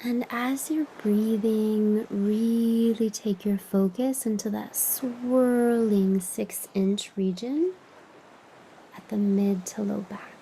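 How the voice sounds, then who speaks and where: A woman leading a guided meditation as a teacher, soothing and unhurried, with long pauses and breathy phrase endings that drop toward a whisper. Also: medium pitch, steady tone, mellow, slight background noise, rough recording. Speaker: meditation teacher and podcast host.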